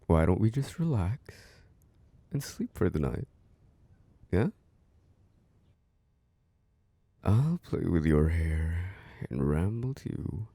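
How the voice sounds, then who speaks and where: A devoted, protective boyfriend, played by a man, coaxes a tired partner toward sleep in an intimate ASMR roleplay. His voice is low and mellow, recorded close and dry. He murmurs tender reassurance in soft, breathy tones. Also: no echo, heavily acted. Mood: cozy, affectionate.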